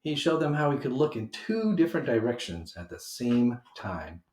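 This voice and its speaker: A man narrates a children's story aloud at a middle pitch. His delivery is expressive and emphatic, aimed at young listeners. The homemade recording has noticeable room echo around the voice. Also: moderate pace.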